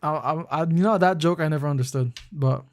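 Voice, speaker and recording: A man's voice: on the high side, brisk. Laid-back young man chatting on stream. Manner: laid-back, slangy, calls chatters bro; thinks aloud with frequent restarts. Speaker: live streamer. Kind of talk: casual live-stream chat answering viewers.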